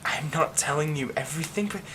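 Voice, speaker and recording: A man voicing a haunted young man hiding a werewolf curse, speaking in a hushed, halting way that often drops to a whisper. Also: on the high side, slight background noise, lightly acted, low-quality recording. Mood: nervous, haunted. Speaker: ASMR roleplay performer. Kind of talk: ASMR horror roleplay.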